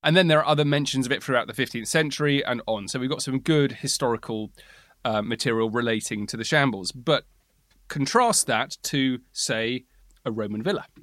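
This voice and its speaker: Man, mid-pitch, casual co-host, stammers and restarts while thinking aloud, dry close sound. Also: brisk, a bit bright, no room sound. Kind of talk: casual conversational chat.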